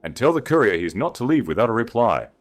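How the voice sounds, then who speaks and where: A man's voice: mid-range voice, very animated, quick pace, faint music behind, pitched above the performer's own voice. A man voicing an arrogant, self-satisfied earl in an audiobook, pompous and flowery with false devotion. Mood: imperious.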